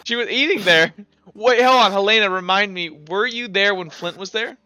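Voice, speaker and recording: A man working as game master, improvising narration casually and haltingly and often correcting himself. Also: sits high, pushed and bright, smooth, no echo, soft background music.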